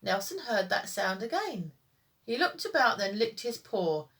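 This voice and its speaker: A woman teacher reading children's stories aloud in an expressive, sing-song storytime style. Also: mid-range voice, unhurried.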